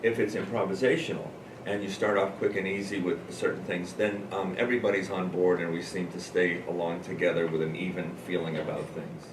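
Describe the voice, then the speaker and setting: A low-pitched man heard from a distance in a noisy room. He is a drama teacher talking informally, explaining things and thinking aloud as he goes. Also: little pitch movement, brisk. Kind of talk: informal talk to an audience about teaching. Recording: roomy, noisy, distant microphone.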